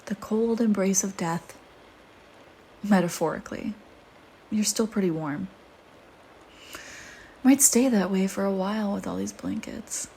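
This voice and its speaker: A woman's voice: mid-range voice, creaky, mellow, a little hiss or hum. A woman playing a flirty, teasing vampire in an intimate audio roleplay, with a sardonic, casual manner. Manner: sardonic, teasing, casual murmuring with sighs and whispered asides.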